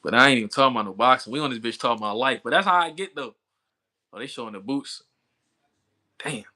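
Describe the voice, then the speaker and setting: A man's voice: medium pitch, quick pace, bright, forceful tone. A man in his thirties chatting casually with livestream viewers, talking in a laid-back, slangy way and laughing often. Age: 30s. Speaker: livestream boxing commentator. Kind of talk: casual chat with live chat viewers.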